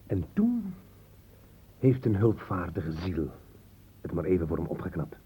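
A man's voice: sits low, huge pitch swings, measured pace, dark tone, slight background noise, heavily acted. An actor playing a blunt, sceptical police inspector in a Dutch radio drama, pointed and sardonic in his questioning. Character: blunt, sharp-tongued male police inspector, sceptical and accusing.

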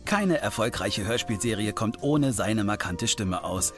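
A man's voice: on the low side, animated, unhurried. A man reading a scripted documentary voice-over as a reporter. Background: music.

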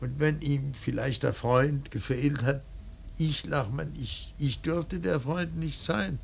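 A man playing a grieving, bitter old painter in a radio drama, speaking in a halting, emphatic, theatrical monologue with a rough, worn voice. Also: a little high, slight background noise. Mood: remorseful, wounded. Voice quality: husky, gravelly. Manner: halting, emphatic, swelling from murmurs to outcries. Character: grieving old painter mourning his dead son, bitter, rambling.

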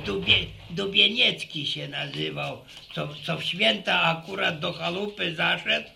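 A man's voice: high voice, slow pace, vocal fry, pushed and bright, slight background noise, full character voice. An actor playing a jovial old village grandfather in a radio drama, chuckling and rambling through sayings and tales. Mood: folksy, amused. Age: older. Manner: chuckling, folksy, rambling storyteller. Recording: roomy echo.

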